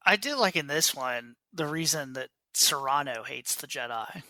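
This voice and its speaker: A man's voice: medium pitch, animated, moderate pace, pushed and bright, no echo, poor audio. A man co-hosting a podcast, chatting casually with a wry, joking manner. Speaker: podcast co-host. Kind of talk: casual book club discussion.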